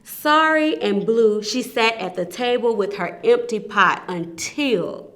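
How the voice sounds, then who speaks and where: Woman, children's read-aloud, slow pacing, lively rise and fall, expressive storyteller emphasis. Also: fairly high pitch. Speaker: volunteer children's story reader.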